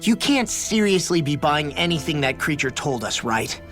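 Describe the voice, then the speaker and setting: A woman's voice: sits low, big pitch swings, normal speed, faint music behind. A woman playing a brash, skeptical, outspoken youngster in a video game, blunt and incredulous. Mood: indignant. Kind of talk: video game cutscene acting.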